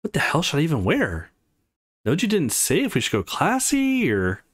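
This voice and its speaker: A man voicing a brash, teasing, excitable young woman in a visual novel, with a bratty, self-assured manner. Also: a little high, normal speed, slightly rough, voice pushed up, heavily acted. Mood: fussy.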